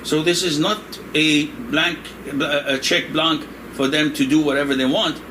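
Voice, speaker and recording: An older man originally from Indonesia, a religious scholar answering questions in a relaxed, joking, digressive way, laughing often. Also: a little high, measured pace. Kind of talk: conversational religious discussion. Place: room in Saudi Arabia. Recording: noisy room with air conditioning hum.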